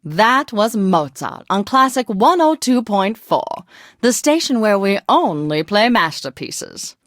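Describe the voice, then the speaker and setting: A woman's voice: mid-range voice, very animated, unhurried, a bit bright, no room sound, clean studio sound. An actress playing a flamboyant, martini-loving lady DJ on a classical radio station in a video game, with a theatrical, gushing, old-fashioned manner. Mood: dreamy, gushing. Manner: theatrical, affected, gushing, old-time slang and endearments. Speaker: radio host.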